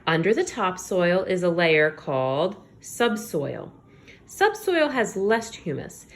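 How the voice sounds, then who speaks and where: A woman teacher reading aloud to young children, expressive, pausing to explain things simply and check in with her listeners. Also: on the low side, vocal fry. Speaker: elementary school teacher.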